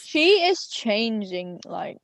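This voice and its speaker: A woman's voice: fairly high pitch, big pitch swings, takes it slowly, dry recording. A teenage woman co-hosting a podcast, chatting casually, teasing and laughing often. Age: late teens. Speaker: podcast co-host. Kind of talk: casual chat.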